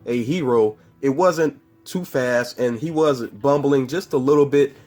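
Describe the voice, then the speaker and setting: A man's voice: medium pitch, a little slow, a little mellow. Congested man chatting through a movie review. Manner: rambling and chatty, with frequent fillers and self-corrections. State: congested from a cold. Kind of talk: casual spoiler movie review.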